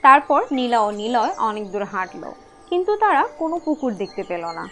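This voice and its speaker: A woman's voice: a little high, very animated, fairly quick, sharp tone, a little hiss or hum. A woman narrating a scripted fairy-tale cartoon as a storyteller.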